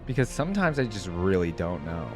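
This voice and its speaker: Low-voiced man rambling through a casual reaction. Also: big pitch swings, a little fast. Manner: informal, rambling, thinking aloud. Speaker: reaction video host. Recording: music playing behind the voice. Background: music.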